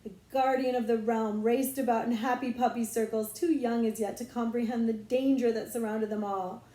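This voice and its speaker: A woman narrates a children's novel with dramatic expression. Her voice is soft-toned and fairly high. The recording has a noticeable room echo. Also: steady tone, moderate pace. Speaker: audiobook reader, librarian. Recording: roomy echo.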